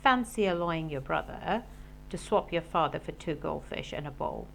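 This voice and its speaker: A woman's voice: deep voice, animated, normal speed, gravelly, a little hiss or hum. A woman voicing a stern, scolding mother in a children's storybook. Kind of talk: storybook character voice.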